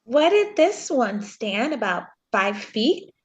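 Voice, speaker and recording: A woman's voice: a little high, very animated, slow. A woman reading a cocky, unimpressed intern of about twenty in an amateur table read of a film script. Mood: scornful.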